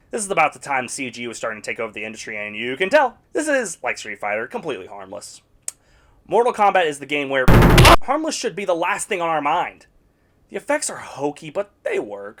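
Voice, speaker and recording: A man's voice: a little high, fairly bright tone. A man narrating a scripted video essay reviewing video game movies, with sarcastic, blunt opinions.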